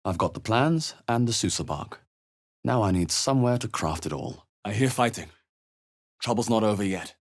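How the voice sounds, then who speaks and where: An actor playing a wry, watchful male fantasy adventurer in a video game, with a husky, dry, understated delivery. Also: fairly low pitch, animated, moderate pace. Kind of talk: video game character voice lines, short reactions.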